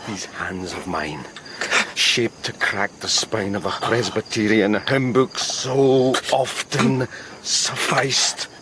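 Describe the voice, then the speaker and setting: Slow-spoken foreign officer, insects behind. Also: male voice, lowish voice, noisy background. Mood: menacing. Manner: measured, polite, guarded. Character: courteous, wounded foreign army officer with buried violence. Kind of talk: radio drama acting.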